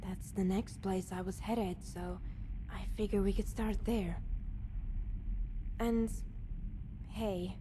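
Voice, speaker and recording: Game companion: woman as guarded, self-reliant female scavenger, unhurried delivery, dry and matter-of-fact, over noisy ambience. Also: medium pitch, steady tone, mellow, natural voice. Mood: businesslike. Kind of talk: video game character dialogue.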